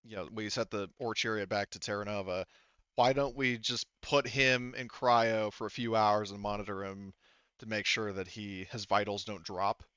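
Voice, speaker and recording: A man narrating a tabletop role-playing game as game master, improvising in a halting, rambling way full of asides. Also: lowish voice, fairly even intonation, fairly bright tone, smooth, no echo, highs cut off.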